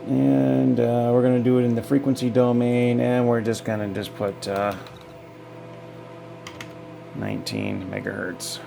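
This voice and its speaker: A man's voice: medium pitch, lively intonation, a little slow, vocal fry, pauses often, music in the background. A man giving a casual, off-the-cuff technical demonstration in a folksy, hesitant way, thinking aloud as he goes. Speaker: hobbyist demonstrator.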